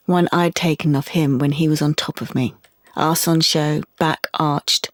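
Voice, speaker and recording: An actress playing a wounded, defiant young woman in an audio drama, with a husky voice and a curt, guarded manner. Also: sits low, steady tone, unhurried, no echo, clean studio sound. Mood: bitter. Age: young adult. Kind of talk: audio drama monologue and dialogue.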